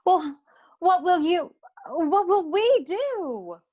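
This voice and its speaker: Woman playing a high-voiced, sultry noir secretary. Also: big pitch swings, drawn-out words, darkish tone, heavily acted. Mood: melodramatic dismay. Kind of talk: comic noir radio play acting.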